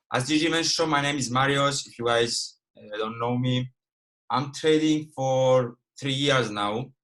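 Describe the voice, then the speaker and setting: A young man from Cyprus speaks English with a Greek Cypriot accent, his first language being Greek. He runs a live online lesson in a casual, straightforward manner, often stopping to check in with his listeners. His pitch sits in an ordinary middle range for a man. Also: poor audio. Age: 20s. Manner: casual and direct, peppers speech with "okay" and "all right". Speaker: guest trading educator.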